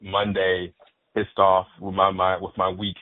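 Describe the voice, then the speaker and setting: Deep-voiced man, cursing and animated. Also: unhurried, no room sound. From Fort Worth, Texas. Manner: animated, profane, repeats words when excited. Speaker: guest. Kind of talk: casual podcast chat. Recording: dry, phone-like band.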